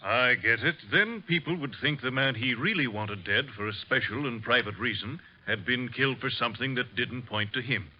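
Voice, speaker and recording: An actor playing a concerned, earnest, well-spoken gentleman in an old radio drama. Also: medium pitch, normal speed, few pauses, close to an everyday voice. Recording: dull vintage radio recording.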